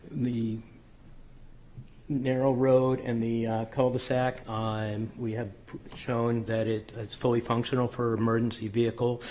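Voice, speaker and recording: A man presenting a subdivision plan at a public board meeting, methodical and technical with frequent ums and self-corrections, his voice slightly hoarse. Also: medium pitch, level tone, measured pace, warm tone, a little hiss or hum. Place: city council chamber.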